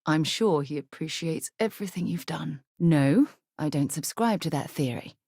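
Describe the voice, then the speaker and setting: A woman's voice: low voice, expressive, normal speed, mellow, slightly rough, no room sound, studio quality, exaggerated voice. A woman playing an earnest, principled space explorer and leader in a video game, speaking in an articulate, composed way with formal phrasing. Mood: composed, purposeful. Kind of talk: video game character voice acting.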